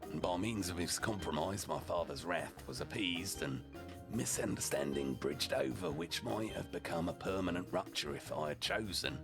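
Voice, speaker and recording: Very deep, gravelly male storyteller. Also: moderate pace, over music. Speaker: storyteller, streamer narrating in character as a deputy. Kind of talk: humorous story reading, dramatic first-person.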